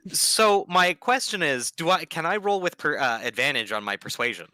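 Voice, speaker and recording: A man's voice: fairly high pitch, lively intonation, moderate pace, bright, forceful tone, no room sound. A man playing a tabletop game, asking questions hesitantly with many fillers. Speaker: player. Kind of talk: casual tabletop game chat.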